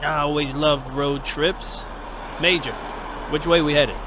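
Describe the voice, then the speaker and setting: A man plays a loyal second-in-command soldier in an audio drama. His voice sits fairly high and punchy, with very loud combat noise around it. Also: steady tone, a little slow, lightly acted. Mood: upbeat. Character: loyal male soldier, eager second to the major. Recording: loud battle noise over voice.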